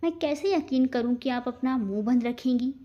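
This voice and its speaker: A woman's voice: high-pitched, warm tone, highs cut off, close to an everyday voice. Woman narrator as a cool, menacing young man. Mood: coolly taunting. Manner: measured, threatening.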